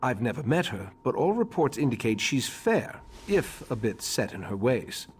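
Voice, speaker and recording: An actor playing a grave, remorseful elven mage leader in a fantasy game, speaking formally with measured, slightly husky delivery. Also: male voice, mid-range voice, sing-song, a little hiss or hum, close to an everyday voice. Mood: measured, informative. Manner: measured, formal, solemn exposition. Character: grave elderly male high elf mage leader, remorseful and scholarly.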